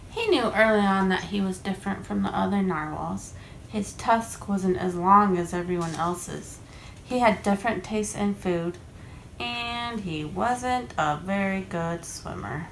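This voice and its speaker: A woman's voice: medium pitch, slow, gravelly. A woman reading a children's picture book aloud expressively, like a parent to a child. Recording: echoey room, faint background noise.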